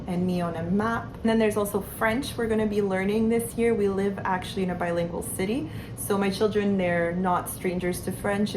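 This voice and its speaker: A woman in her thirties, a homeschooling mother, talking to camera in an earnest, unhurried way with soft fillers. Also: mid-range voice. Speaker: homeschooling mother sharing curriculum picks. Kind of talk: casual talk to camera. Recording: steady background noise.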